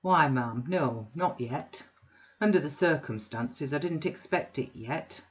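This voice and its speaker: A woman voicing a blustering, boastful middle-aged businessman who talks loud and blunt. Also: deep voice, lively intonation, takes it slowly. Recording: dull lo-fi recording.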